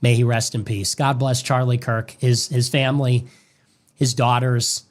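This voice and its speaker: A man's voice: medium pitch, little pitch movement, unhurried. A man giving a grave, preacher-like monologue on death and faith, played back from an original stream. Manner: grave, preacherly cadence with long lists. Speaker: political commentator.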